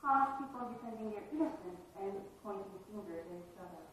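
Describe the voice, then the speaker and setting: A woman's voice: mid-range voice, expressive, a little fast, fairly bright tone. A woman reading stage directions as the narrator of a live dramatic reading, with scripted theatrical delivery. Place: live reading in an echoey performance space. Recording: echoey hall, distant mic, dull and noisy.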